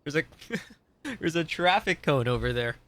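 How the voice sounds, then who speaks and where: A man's voice: a little high, lively intonation, moderate pace. A man doing casual live gameplay commentary as a streamer, talking in an offhand, rambling way and repeating words. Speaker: game streamer. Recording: loud game music behind the voice.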